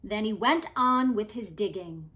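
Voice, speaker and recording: A woman in her forties reading a children's story aloud as a teacher would, with expressive, deliberate phrasing. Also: medium pitch. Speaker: teacher reading stories to pupils. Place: room at home, indoors.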